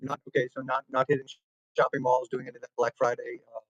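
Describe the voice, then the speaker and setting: A man's voice: mid-range voice, flat delivery, fast, dry recording, highs cut off. A man hosting a casual chat show in a playful, joking manner. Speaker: host.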